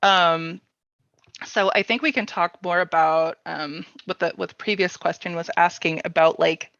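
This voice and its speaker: A woman chatting casually as a workshop panelist about storytelling, in halting phrases peppered with "like". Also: fairly low pitch, steady tone, creaky, fairly bright tone. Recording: roomy echo, slightly dull sound.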